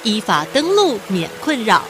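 A woman's voice: fairly high pitch, sing-song, normal speed, background noise. A woman narrating a scripted children's radio drama as a storyteller.

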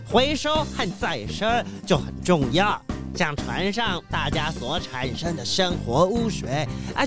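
A woman playing an earnest, eco-minded ship captain in a children's audio drama, explaining things emphatically. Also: fairly low pitch.

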